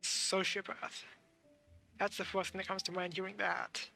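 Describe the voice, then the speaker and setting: A woman's voice: mid-range voice, flat delivery, moderate pace, vocal fry, sharp tone. A woman giving casual live gameplay commentary as a streamer. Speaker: game streamer. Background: game music.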